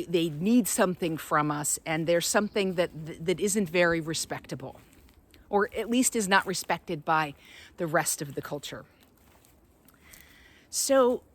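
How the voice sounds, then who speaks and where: A woman giving an unscripted, reflective inspirational talk as a spiritual guide, often pausing mid-phrase to find her words. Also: medium pitch, a bit bright.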